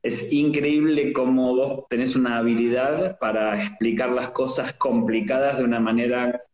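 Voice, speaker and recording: A man with an Argentine accent hosting a casual interview over a video call, playful and joking, filling pauses with "este". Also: medium pitch, fairly even intonation, a little mellow, roomy sound, poor audio. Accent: Argentine Spanish. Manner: playful, joking, many fillers. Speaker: host.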